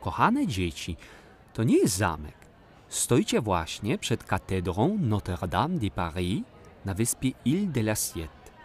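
Actor as a patient, expressive male guide. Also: on the low side, measured pace. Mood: patient, explanatory. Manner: explanatory, encouraging, addressing children fondly. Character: patient, kindly adult man guiding children. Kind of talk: didactic children's audio play acting.

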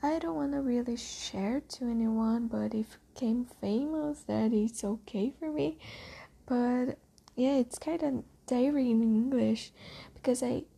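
A teenage girl with a Brazilian Portuguese accent talks her way through a spoken diary, slow and hesitant, full of little pauses. Her voice is husky and gravelly, with a dark, mellow tone and a slightly high pitch. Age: teens. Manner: hesitant, many ums, often whispery and soft. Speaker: diarist.

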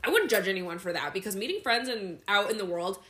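A young woman co-hosting a podcast, chatting in a quick, upbeat way. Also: medium pitch, expressive, bright, forceful tone. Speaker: podcast co-host. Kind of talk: casual chat.

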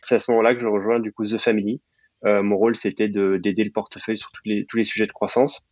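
A man's voice: on the low side, level tone, quick pace, a little mellow, no echo, lo-fi sound. A young man from Belgium and Sicily talking casually as an interview guest, informally mixing in English jargon. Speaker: podcast guest, startup programme manager.

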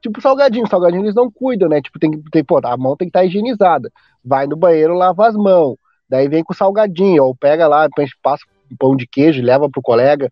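A man from Brazil, a teacher hosting a podcast in casual chat, talkative and quick to laugh. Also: fairly high pitch, lively intonation, dry recording, dull audio, faint music behind. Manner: chatty, laughing often, drawn-out asides.

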